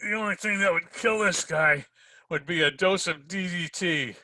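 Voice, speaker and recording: An actor playing a tough, hard-boiled private detective in 1940s radio drama style, sardonic and slangy. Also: male voice, high-pitched, normal speed, sharp tone, no room sound, clean studio sound. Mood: mocking.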